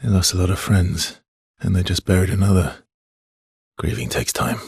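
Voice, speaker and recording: An actor voicing a weary, gentle male adventurer in a video game, with a husky, breathy voice and measured, understated phrasing. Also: sits low, dry recording, clean studio sound, full character voice. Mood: somber. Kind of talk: video game dialogue lines.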